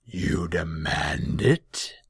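A man voicing a sly, mocking feline demon with a slow, rumbling growl and breathy whisper. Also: lively intonation, no room sound, studio quality, heavily acted. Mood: amused, mocking. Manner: slow, mock-deferential.

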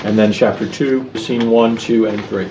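A man's voice: on the low side, fairly even intonation, normal speed. A man who plays in a tabletop game podcast, explaining things informally to listeners. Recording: echoey, distant, very noisy room.

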